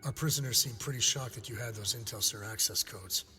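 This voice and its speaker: An actor playing an analytical military intelligence officer in a game cutscene, speaking in a formal, explanatory manner. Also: male voice, on the low side, brisk, sharp tone, long reverb tail, a little hiss or hum. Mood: firm, pressing.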